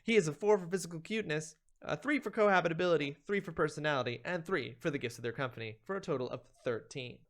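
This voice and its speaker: A man's voice: fairly high pitch, fast, hardly any pauses, fairly bright tone. A man hosting a stream, giving a playful, theatrical reading of a comedic ranked list with emphatic delivery. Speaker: streamer, host.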